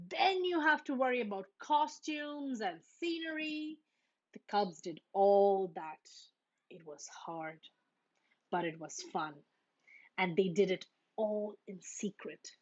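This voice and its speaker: Female children's storybook reader: higher-pitched, unhurried, expressive delivery. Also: dull audio. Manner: expressive, acts out the characters' lines. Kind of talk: read-aloud children's storytelling.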